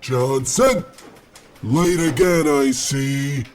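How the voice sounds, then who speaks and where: Slow, pompous office boss acted by a man. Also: medium pitch, a little mellow. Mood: blustering, fawning. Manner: bombastic, grandly theatrical delivery. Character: pompous, bossy government office boss, fickle and fawning. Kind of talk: comic old-time radio play acting.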